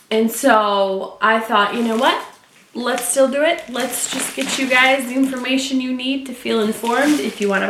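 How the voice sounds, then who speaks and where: A woman from Michigan chatting casually to viewers while unboxing and reviewing a leather tote at home. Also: on the high side. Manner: chatty, talks to viewers while handling the bag. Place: home room. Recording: echoey room with faint noise.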